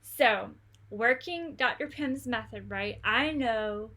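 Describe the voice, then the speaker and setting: A woman's voice: on the high side, takes it slowly, bright, forceful tone. A woman coaching an online study group, talking in a chatty, encouraging way full of fillers and restarts over a video call. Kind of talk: online tutoring session.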